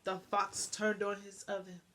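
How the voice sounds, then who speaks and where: Female storybook reader, expressive scripted narration, very unhurried, mid-range voice. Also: medium pitch.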